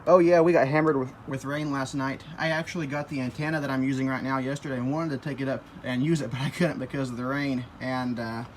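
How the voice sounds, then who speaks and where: A man's voice: mid-range voice, steady tone, fast, hardly any pauses, slight background noise. A man working as an amateur radio operator, chatting casually and giving call signs outdoors on a rooftop. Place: outdoors on an apartment building roof.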